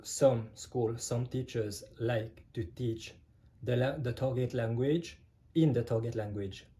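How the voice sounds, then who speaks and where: A man's voice: medium pitch, slow pace. A man teaching a casual online language lesson, hesitant and conversational, with many filler sounds. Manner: relaxed, conversational explaining. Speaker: French teacher.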